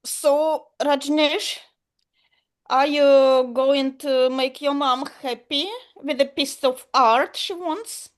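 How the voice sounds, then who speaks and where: A woman's voice: high voice, steady tone. A woman playing a vain, wealthy middle-aged mother who brags about having the best of everything, in a put-on British accent. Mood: haughty. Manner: haughty, boastful, unhurried. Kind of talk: improvised comedy scene.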